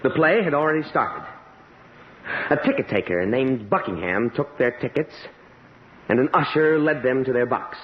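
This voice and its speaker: An actor playing an earnest, driven man sent back through time, halting and intense, in a vintage radio drama. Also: mid-range voice, sing-song, close to an everyday voice. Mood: earnest, measured. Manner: halting, dazed, intense, repeating himself. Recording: dull, noisy old radio recording.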